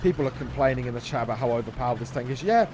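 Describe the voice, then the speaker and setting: Male gaming host, mid-pitched, running play-by-play in short bursts, clear noise behind the voice. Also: animated, racing. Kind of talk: gameplay commentary.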